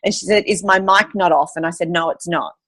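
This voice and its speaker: A woman's voice: on the low side, fairly quick, a bit bright. A woman from Sydney, chatting casually on a video call. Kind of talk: casual chat.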